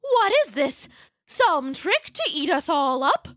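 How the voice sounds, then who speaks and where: A woman voicing a fussy, matronly mother pterodactyl with a shrill, scolding voice. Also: sing-song, takes it slowly, fairly bright tone, no echo, clean studio sound, full character voice.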